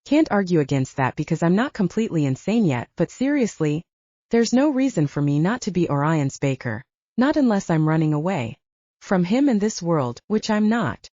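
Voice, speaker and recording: A woman with a lowish voice narrates a first-person fantasy story, wry and lively in her phrasing. The recording is dry and close, with a dull lo-fi tone. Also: normal speed, no room sound. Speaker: audiobook narrator.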